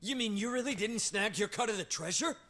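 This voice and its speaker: A man's voice: high-pitched, pushed and bright, heavily acted. An actor playing a dim, anxious yakuza henchman in a video game, whining and pleading. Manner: whining, slow-witted, pleading. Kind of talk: comic video game cutscene acting.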